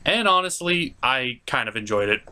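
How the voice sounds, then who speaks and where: A man hosting a scripted cartoon review, speaking in a sarcastic, emphatic way with drawn-out stresses. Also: mid-range voice, animated, sharp tone, smooth. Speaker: reviewer, show host.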